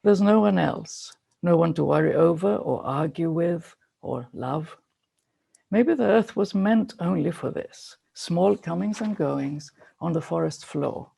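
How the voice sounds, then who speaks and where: Man reading a poem, super crackly mellow voice, measured n reflective. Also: on the high side, vocal fry, breathy edge. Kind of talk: poetry reading.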